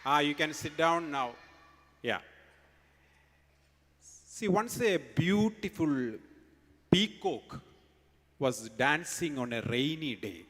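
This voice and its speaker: A man's voice: a little high, lively intonation, slow pace, pauses often, fairly bright tone, long reverb tail. A man with an Indian English accent giving a morning assembly speech to students, telling a story emphatically and quizzing them. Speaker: school speaker at an assembly. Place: large school hall.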